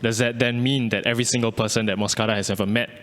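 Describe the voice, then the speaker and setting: A man's voice: medium pitch, flat delivery, fast talker, a bit bright. A young man, an artist whose first language is Malay, talking thoughtfully about his work with frequent restarts and fillers. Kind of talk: reflective interview answer. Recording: reverberant hall.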